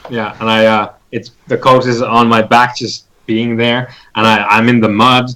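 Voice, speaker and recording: A man playing a tabletop game, describing his character's actions haltingly as he thinks aloud. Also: medium pitch, little pitch movement, bright, forceful tone, rough recording.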